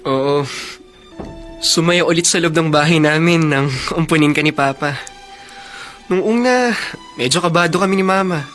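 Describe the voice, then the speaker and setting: A man's voice: fairly high pitch, moderate pace, pauses often, a bit bright, soft background music, natural voice. A man with a Filipino accent playing a playful, affectionate young big brother in a radio drama, in a boyish manner. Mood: fond, wistful.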